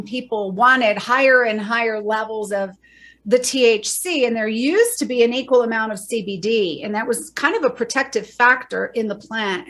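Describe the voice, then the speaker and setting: A woman's voice: a little high, a bit bright. Earnest middle-aged woman explaining. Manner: earnest, explanatory, fast listing of facts. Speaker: guest, bereaved mother and drug educator. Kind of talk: podcast interview.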